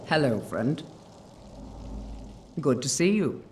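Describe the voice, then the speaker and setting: A friendly ship's crewman in a fantasy game. He speaks slowly and carefully at a middling pitch, with faint noise behind him. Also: big pitch swings. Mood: friendly, cautioning. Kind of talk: fantasy video game voice acting.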